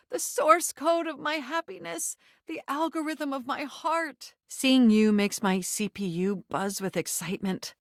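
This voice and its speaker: A woman's voice: high voice, no echo, studio quality. A woman voicing a sentient home computer in a dating video game, nerdy and romantic, with flirtatious, theatrical delivery and formal phrasing. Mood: flirtatious, delighted. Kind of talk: video game character voice acting.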